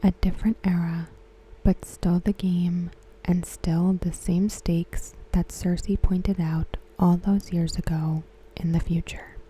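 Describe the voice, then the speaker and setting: A woman giving ASMR commentary in a soft, breathy whisper, hushed and measured. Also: lowish voice, level tone, slight background noise, low-quality recording. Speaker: ASMR host reviewing a TV episode. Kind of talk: ASMR commentary, softly spoken.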